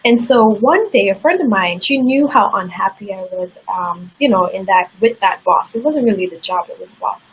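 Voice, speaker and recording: A woman's voice: medium pitch, expressive, moderate pace, hardly any pauses, slight background noise, low-quality recording. A woman guest in an interview, telling a personal story in a candid, earnest way. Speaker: interview guest, success coach.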